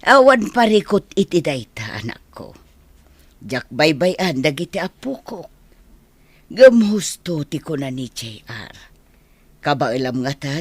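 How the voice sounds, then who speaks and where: A woman playing a caring elderly village grandmother in an Ilocano radio drama, with a raspy voice and a fond, admonishing manner. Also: low-pitched, no echo, heavily acted. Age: older.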